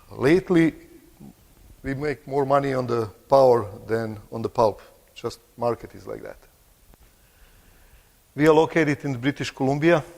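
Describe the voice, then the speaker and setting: A middle-aged man with a Croatian accent giving a conference presentation, halting and restarting phrases and clearing his throat now and then. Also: mid-range voice. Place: large conference hall. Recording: long hall reverb.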